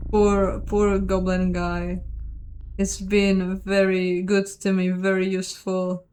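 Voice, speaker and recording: A woman's voice: mid-range voice, completely flat delivery, slow pace, darkish tone, a little hiss or hum, poor audio. A woman giving casual, chatty let's play commentary, thinking aloud in a self-deprecating way. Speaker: host of a game playthrough, voicing the dialogue. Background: game music.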